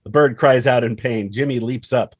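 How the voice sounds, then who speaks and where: A man's voice: medium pitch, normal speed, slightly rough, dry recording. A man reading stage directions as the narrator of a screenplay table read.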